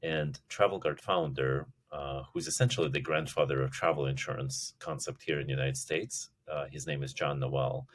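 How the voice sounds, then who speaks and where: Very deep-voiced man in his forties. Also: steady tone, slightly rough. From Russia. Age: 40s. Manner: halting, many restarts and fillers, laughs easily. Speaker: company CEO guest. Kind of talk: casual interview chat.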